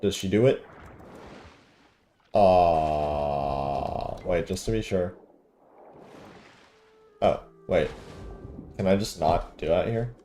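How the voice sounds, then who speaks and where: A man's voice: sits low, very slow, long pauses, dark tone, faint music behind. A man giving casual commentary while he plays through a video game. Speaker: gaming streamer. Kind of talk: casual game playthrough commentary, reading dialogue aloud.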